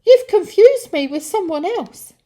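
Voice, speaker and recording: A woman's voice: very high-pitched, expressive, measured pace, mellow, pitched above the performer's own voice. A woman voicing a frightened, defensive man who protests in agitation, in a children's story. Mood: flustered denial. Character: panicking, defensive man denying everything, frightened.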